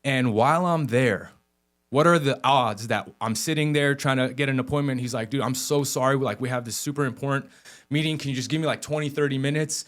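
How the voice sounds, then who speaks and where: A man's voice: medium pitch, fairly quick, a bit bright. A man hosting a podcast interview, telling a story in a rambling, hesitant way with many fillers. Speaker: podcast host.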